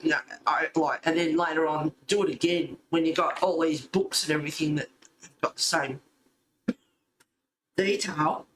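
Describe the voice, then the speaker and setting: A man's voice: fairly high pitch, fairly even intonation, moderate pace, pauses often, a bit bright, echoey room, slight background noise, poor audio. A man chatting casually and informally while colouring, rambling and thinking aloud as he goes. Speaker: host of a colouring channel.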